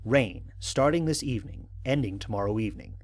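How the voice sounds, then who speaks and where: A man playing a wry human astronaut captain in an audio drama, speaking in a dry, measured way. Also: medium pitch, animated, natural voice. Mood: wry, reflective. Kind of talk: audio drama narration as log entries.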